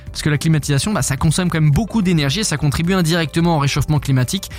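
Joking high-voiced radio host, southern French. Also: male voice, rapid-fire, soft background music. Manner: jokey, animated. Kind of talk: morning radio chat.

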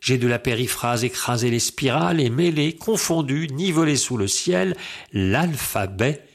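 A man's voice: medium pitch, animated, vocal fry. Man declaiming verse dramatically. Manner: declamatory, emphatic, with deliberate phrasing. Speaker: poetry reciter. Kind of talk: dramatic recitation of classical French verse.

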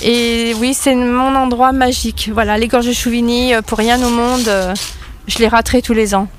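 A woman's voice: fairly high pitch, steady tone, moderate pace, slight background noise. A woman in her fifties, interviewed on local radio, talking eagerly and rambling with many fillers. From France. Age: 50s. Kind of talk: casual interview.

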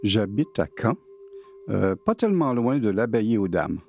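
A man in his late sixties from Quebec, with a Québécois French accent, telling his own life story casually with many pauses and fillers. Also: fairly low pitch, sing-song, gravelly, a little mellow, faint music behind. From Quebec, Canada. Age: 60s. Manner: hesitant, many fillers and restarts. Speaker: interviewee.

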